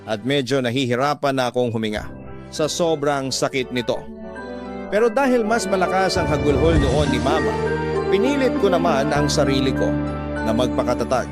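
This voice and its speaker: A man's voice: mid-range voice, lively intonation, normal speed. A man with a Filipino accent giving scripted first-person narration for a radio drama. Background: background music.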